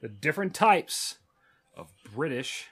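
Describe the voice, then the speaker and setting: A man's voice: a little high, big pitch swings, measured pace, a bit bright. A man hosting a show in a loose, casual chat, introducing clips as he goes. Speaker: show host.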